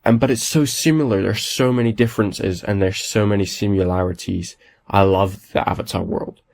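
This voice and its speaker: A man hosting a solo podcast, raspy from a cold, talking enthusiastically and rambling with lots of restarts. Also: fairly low pitch, fairly even intonation, moderate pace, darkish tone. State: has a cold. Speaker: podcast host. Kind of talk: casual solo podcast talk.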